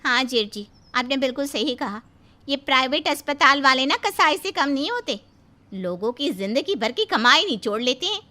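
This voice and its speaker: A woman's voice: high-pitched, expressive, moderate pace, bright, forceful tone. A woman voicing a cruel, scheming young daughter-in-law who talks harshly and bossily in a cartoon. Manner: harsh, bossy.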